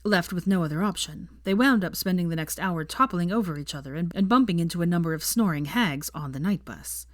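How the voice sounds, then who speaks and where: A woman's voice: fairly low pitch, animated, fairly quick, few pauses, dry recording, studio quality. A woman reading a story aloud as scripted audiobook narration. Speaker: fan fiction audiobook narrator.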